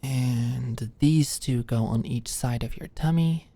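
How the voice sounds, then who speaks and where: Woman acting a low-voiced, anxious young medical student. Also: takes it slowly, warm tone, heavily acted. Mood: earnest, explaining. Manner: nervous, hesitant, stammering. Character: anxious, flustered young female medical student, sweet and awkward. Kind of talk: ASMR roleplay, soft intimate acting.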